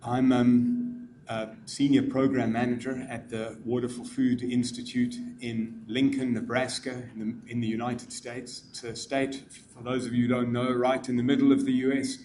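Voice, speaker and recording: A middle-aged man with a South African accent giving measured welcome remarks at a symposium, with frequent ums. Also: mid-range voice, flat delivery, noisy background. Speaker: conference speaker, program manager.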